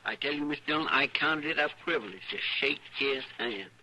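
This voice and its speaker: An actor playing an eager, admiring frontier deputy in a radio western, with a rural Western American accent and a rambling, deferential way of talking. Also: male voice, medium pitch, expressive, brisk, sharp tone. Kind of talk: old-time radio western acting. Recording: noisy, crackly old radio recording.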